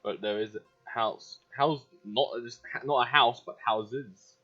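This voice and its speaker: A man's voice: mid-range voice, slow, sharp tone. A man giving casual playthrough commentary on a video game, in short, offhand, muttered reactions. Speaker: gamer playing and commenting. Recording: dull audio with game music. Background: game music.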